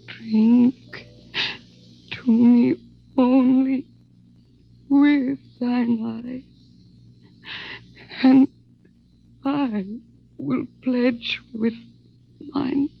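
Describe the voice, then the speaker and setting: Brief: yearning Martian woman, high and extremely slow, creaky edge, emotional vintage radio style, muffled recording. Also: steady tone, full character voice. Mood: wistful singing. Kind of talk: 1950s radio drama acting.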